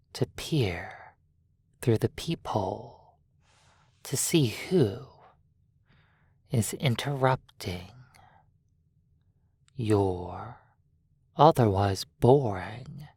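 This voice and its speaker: A narrator softly telling a sleep hypnosis roleplay story in a breathy voice, deliberately, with long pauses between phrases. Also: mid-range voice, no echo, clean studio sound. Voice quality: breathy, soft.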